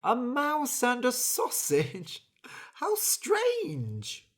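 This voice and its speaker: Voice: medium pitch, big pitch swings, slow pace, a bit bright, husky, pitched above the performer's own voice, heavily acted. A reader voicing a curious stranger in a storybook who keeps asking the bird about her home life. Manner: wondering, inquisitive.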